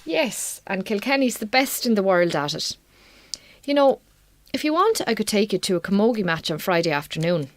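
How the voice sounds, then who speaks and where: An actress as a wry, weary Irish farm wife in a radio drama. Her Irish accent comes with a very mobile, expressive pitch and a blunt, colloquial manner that has warmth under it. The recording is dry. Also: normal speed, no echo, close to an everyday voice. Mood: animated, friendly.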